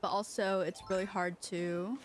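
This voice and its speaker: A young woman streamer, born in China with Chinese as her first language, chats casually and rambles, doubling back and filling gaps with "like" and "um." Her voice sits in an ordinary middle pitch. Faint music plays underneath. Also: flat delivery, moderate pace. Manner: rambling, chatty, lots of fillers and restarts.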